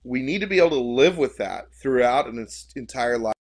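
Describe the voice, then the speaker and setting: A man's voice: medium pitch, expressive, fairly quick. Man with a big, instructive voice. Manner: instructive, addresses listeners directly. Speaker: church media co-host. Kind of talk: casual podcast chat.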